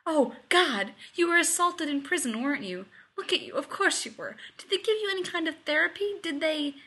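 Woman acting out a shrill, panicky, sarcastic man. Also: sing-song, a little slow, sharp tone, full character voice. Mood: panicked concern. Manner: exasperated, dramatic, prone to shrieking. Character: panicky, sarcastic adult male genius hostage, easily outraged.

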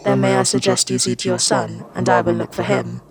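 A woman voicing a weary masked male warlord who talks through a vocoder, formal, measured and quiet. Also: rumbling low, lively intonation, creaky, soft background music, pitched below the performer's own voice, exaggerated voice. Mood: grave. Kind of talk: fan fiction audiobook reading.

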